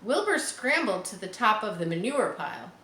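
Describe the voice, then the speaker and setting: A woman reading a children's story aloud expressively, pausing to explain things as if to schoolchildren. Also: medium pitch, very animated, sharp tone. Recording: roomy echo, faint background noise.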